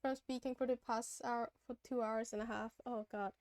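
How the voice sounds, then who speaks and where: A woman's voice: on the high side, steady tone, normal speed, a little mellow, dry recording. A woman hosting a live game stream in casual, giggly chat, her voice tired and strained from hours of talking. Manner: giggly, chatty. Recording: background music behind close dry voice.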